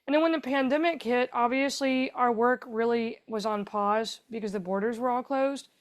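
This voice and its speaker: A woman's voice: on the high side, normal speed, clear, resonant tone. Chatty woman telling a long story. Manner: chatty, rambling storytelling with many fillers. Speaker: guest, foundation founder. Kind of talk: conversational podcast interview.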